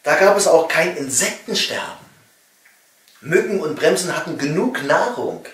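A middle-aged man from East Germany reading a satirical comedy monologue with wry emphasis and pointed pauses. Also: a little high, expressive, a bit bright. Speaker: cabaret comedian and author. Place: small home study, indoors. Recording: roomy echo, distant microphone.

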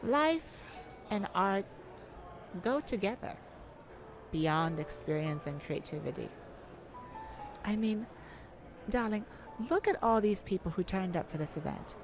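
A woman's voice: mid-range voice, expressive, measured pace, pauses often. A woman playing a charming, glamorous lady thief in a romantic ASMR roleplay, coy and teasing, speaking sweetly to the listener. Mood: wistful, tender. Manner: coy, teasing, leisurely with breathy chuckles. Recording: music behind the voice.

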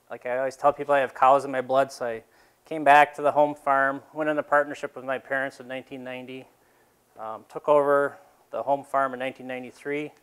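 Plain-spoken farmer man, smooth voice. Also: mid-range voice, a bit bright. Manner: rambling with fillers and restarts. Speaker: dairy farmer presenting. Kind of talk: casual talk to a seated audience. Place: large exhibition hall.

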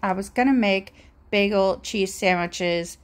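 A woman's voice: mid-range voice, measured pace. A woman vlogger chatting casually and rambling as she shows her grocery haul at a table at home, recorded on a handheld phone. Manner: chatty, rambling, self-deprecating asides. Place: home kitchen table, indoors.

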